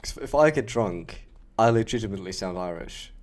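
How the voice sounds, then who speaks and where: A man's voice: fairly low pitch, brisk. A young man with a German accent chatting casually and joking as he streams a game. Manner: relaxed, chatty, laughing and joking with a co-player. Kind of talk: casual gaming chat.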